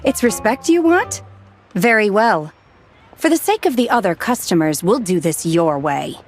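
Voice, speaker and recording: A woman's voice: medium pitch, normal speed, faint music behind, close to an everyday voice. Woman acting a cool, stern warrior woman. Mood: cool, firm. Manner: calm, formal, firm. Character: composed, stern young woman warrior, coolly unyielding. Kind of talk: video game acting.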